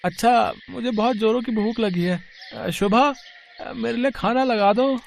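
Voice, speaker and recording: Man as a high-pitched, whiny lazy young son. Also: a little slow, gravelly, full character voice. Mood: whining.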